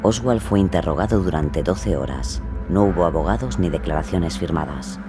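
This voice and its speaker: Deep-voiced male documentary narrator. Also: expressive, moderate pace, music in the background. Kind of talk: scripted present-tense storytelling narration.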